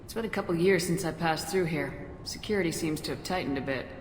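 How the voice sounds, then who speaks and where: A woman acts as a no-nonsense female space commander in a video game. Her voice is notably low and direct. The sound is reverberant, with some noise behind it. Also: fast talker, lightly acted. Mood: composed. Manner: direct, businesslike. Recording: echoey, reverberant, clear background noise.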